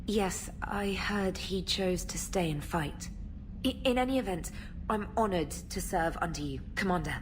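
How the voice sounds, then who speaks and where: A woman's voice: lowish voice, animated, a little slow, a little hiss or hum, rough recording. An actress playing a polite, slightly nervous female military communications specialist in a video game. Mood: nervous, earnest. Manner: polite, formal, slightly flustered. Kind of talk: video game character dialogue.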